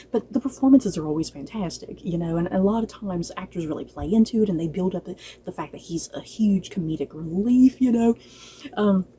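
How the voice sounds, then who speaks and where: A woman with a soft-edged, mellow voice reviews casually for her viewers over light background noise. Her talk is informal and chatty, with gushing enthusiasm and playful exasperation. She speaks at a middling pitch. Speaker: book and drama review vlogger. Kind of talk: casual review chat to camera.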